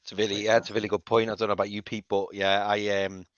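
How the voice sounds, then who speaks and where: Scouse guy chatting football, low-ish bright voice, muffled dry audio. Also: little pitch movement, quick pace, no echo. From Liverpool, England. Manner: agreeable, earnest, easygoing. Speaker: podcast co-host. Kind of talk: casual fan chat.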